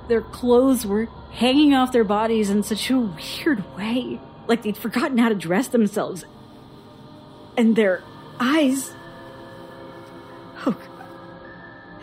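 A haunted woman recalls childhood terrors in a horror audio drama. She speaks very slowly and haltingly, with an uneasy, suspenseful hush and faint music underneath. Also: on the high side, animated. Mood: fearful, halting. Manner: slow, halting, hushed suspense. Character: haunted woman recounting frightening childhood memories to a doctor.

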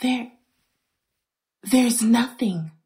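A woman's voice: a little high, very slow, darkish tone, husky, dry recording, clean studio sound, heavily acted. Guarded female shopkeeper. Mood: alarmed. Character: guarded local shopkeeper woman in a ghost story. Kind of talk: horror audio drama acting.

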